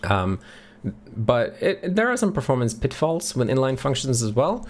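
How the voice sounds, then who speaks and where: A middle-aged man from Sweden with a Swedish accent, hosting a live question session from a home office and reading chat questions aloud. He talks in a relaxed way, thinking aloud with many ums. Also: mid-range voice, moderate pace. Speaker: livestream host answering chat questions. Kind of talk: casual live Q&A. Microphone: studio mic on a boom near the face.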